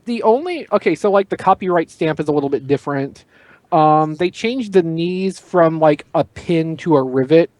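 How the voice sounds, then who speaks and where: Higher-pitched man, dry close sound. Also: expressive, normal speed, a little mellow, no echo. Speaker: podcast panelist. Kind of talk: casual chat about collectible toys.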